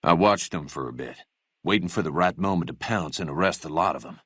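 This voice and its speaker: Fussy, meek deputy, lowish dry voice. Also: male voice, brisk, a bit bright, slightly rough, no echo. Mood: plaintive, pompous. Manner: fussy, wordy, mannered politeness with hesitant stammers. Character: meek, self-important small-town deputy, cowardly and fussy. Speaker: video game character. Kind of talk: scripted game dialogue acting.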